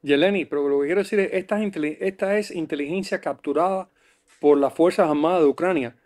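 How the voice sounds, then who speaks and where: A man's voice: on the high side, fast talker, darkish tone, no room sound, clean studio sound. A man speaking as an expert guest, giving emphatic, rhetorical political commentary in an interview.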